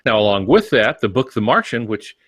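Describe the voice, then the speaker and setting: A man on a podcast panel, giving casual advice in a measured, folksy way. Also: on the low side, big pitch swings, fairly bright tone, slightly rough, no room sound, studio quality. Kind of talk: casual advisory discussion.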